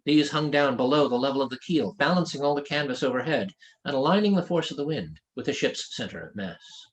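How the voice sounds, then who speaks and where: A man reads a fantasy novel as its author, in a scripted storytelling style. His voice sits at a middle pitch and moves up and down a good deal as the sentences unfold. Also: lively intonation, brisk. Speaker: author reading own novel.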